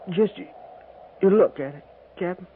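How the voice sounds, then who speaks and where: An actor playing a dying cavalry trooper and deserter seeking redemption, with folksy frontier speech, in 1950s radio western style. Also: male voice, sits high, moderate pace. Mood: weary, confessional. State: weak, dying. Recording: noisy, narrow-band 1950s radio recording.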